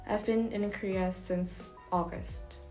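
A calm young woman speaks softly and in measured phrases about her year. She is seated in a university lobby facing the camera. Faint background music plays behind her. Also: mid-range voice. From Indonesia. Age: young adult. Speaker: university student. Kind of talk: interview to camera. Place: indoor university building lobby.

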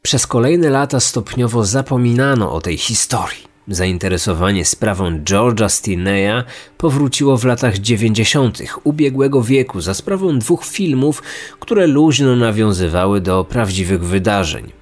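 A man delivering serious, measured scripted true-crime narration as a podcast host. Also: fairly low pitch, expressive. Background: music.

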